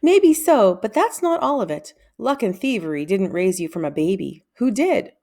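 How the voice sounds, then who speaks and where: A woman's voice: medium pitch, big pitch swings, moderate pace, darkish tone. A woman voicing a brusque, imperious older male wizard in a children's storybook, speaking in a stern, formal, clipped way. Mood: probing. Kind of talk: children's storybook read-aloud.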